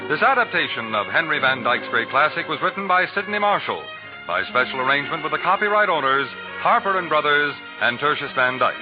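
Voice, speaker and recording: Male radio announcer, 1940s. Highish voice, lively inflection, formal polish; loud music behind, muffled vintage sound.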